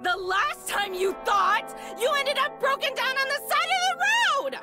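Visual novel heroine, acted by a woman: young, very high, volatile, sarcastic and scolding, over loud music. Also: big pitch swings, measured pace, full character voice. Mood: scornful, angry. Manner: biting, exasperated, swearing delivery. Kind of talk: dramatic visual novel read-aloud.

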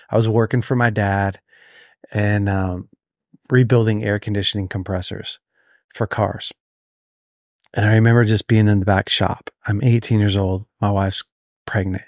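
A man's voice: fairly low pitch, fairly even intonation, moderate pace, no room sound, studio quality. A man with an American accent interviewing casually as a podcast host. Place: indoor podcast studio. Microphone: close studio microphone on a stand.

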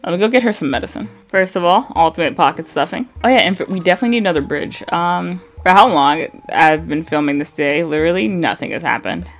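Chatty midwestern woman with vocal fry. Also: on the low side, quick pace, few pauses, soft background music. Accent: American Midwest. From American Midwest. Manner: chatty, blunt, swears freely, reads game dialogue aloud flatly. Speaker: gaming streamer. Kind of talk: casual gameplay commentary.

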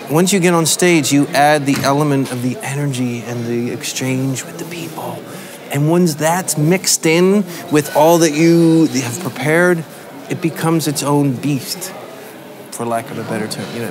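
A man, a band musician, talking thoughtfully in a backstage interview indoors, often searching for words. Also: mid-range voice.

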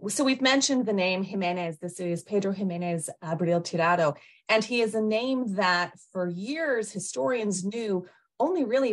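A woman hosting a video-call interview from a home office, enthusiastic and articulate as she introduces her questions. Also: lowish voice. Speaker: host, interviewer.

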